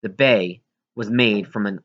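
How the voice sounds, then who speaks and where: A man's voice: lowish voice, measured pace, lo-fi sound. A man reads a children's novel aloud as scripted audiobook narration. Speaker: audiobook reader.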